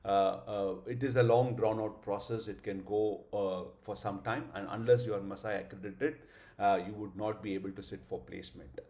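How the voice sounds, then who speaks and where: A man's voice: on the low side, steady tone, moderate pace, a little mellow. A middle-aged man giving a live online class, earnest, with many hesitant fillers and rhetorical questions. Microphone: video call.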